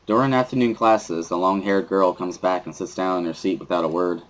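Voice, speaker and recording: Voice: deep voice, fairly even intonation, fairly quick, pitched below the performer's own voice, close to an everyday voice, low-quality recording. A reader playing a wary teenage schoolboy who narrates his own story in a dry, mildly sardonic way, as a visual novel dramatic reading. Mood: reflective.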